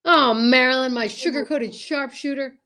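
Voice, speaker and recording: A woman playing a silly, boastful, smitten male comic stooge in an old-time radio comedy, talking in excitable exclamations. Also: high voice, moderate pace, a little hiss or hum. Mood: flustered, smitten.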